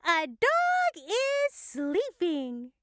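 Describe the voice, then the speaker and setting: A woman's voice: very high-pitched, wildly swooping pitch, very slow, background noise. A woman narrating and co-hosting a playful children's reading lesson. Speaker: narrator and co-host.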